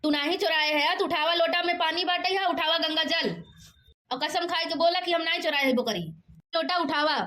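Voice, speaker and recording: A woman's voice: fairly even intonation, moderate pace, bright, forceful tone. A woman voicing a scolding, bossy village auntie in a cartoon, with a rustic Awadhi accent and a piercing voice. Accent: Awadhi, eastern Uttar Pradesh. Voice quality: shrill, piercing. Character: scolding, bossy village auntie hunting her stolen goat. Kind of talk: cartoon dialogue in rustic dialect.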